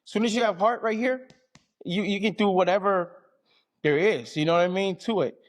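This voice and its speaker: A man's voice: high-pitched, fairly quick. A man from American Samoa and Southern California, an athlete being interviewed, talking casually and colloquially with frequent check-in phrases. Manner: colloquial, frequent "you know what I mean". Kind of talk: casual conversation.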